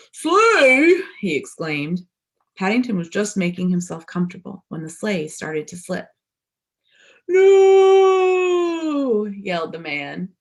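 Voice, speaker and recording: A woman's voice: on the low side, darkish tone. A woman reading a children's storybook aloud like a pastor at story time, dramatic and speaking directly to young listeners. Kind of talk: expressive children's storybook narration.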